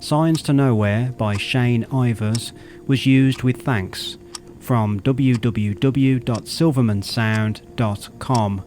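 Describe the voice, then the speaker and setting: A man reading scripted credits as an announcer, in a measured, careful delivery. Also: mid-range voice, a little mellow, faint music behind. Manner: measured, spelling out details. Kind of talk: scripted narration.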